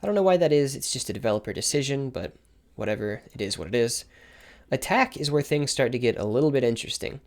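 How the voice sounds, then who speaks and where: A man with a slightly hoarse voice, giving a quick, informal explanation of game mechanics with asides and self-corrections. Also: medium pitch, lively intonation, darkish tone. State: slightly hoarse from repeated takes. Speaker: game guide creator. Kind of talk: explaining game mechanics over gameplay footage.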